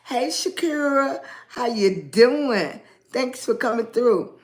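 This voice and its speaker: Woman, raspy voice, unhurried livestream host, echoey room sound. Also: fairly high pitch. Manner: greets viewers by name, rambling and animated. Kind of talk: casual livestream chat with viewers.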